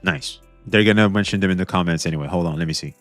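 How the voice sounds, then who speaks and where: A young man hosting a casual reaction stream, talking back to a video with excited, repetitive commentary. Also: low voice, quick pace, soft background music. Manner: excitable, talks back to the video, repeats himself.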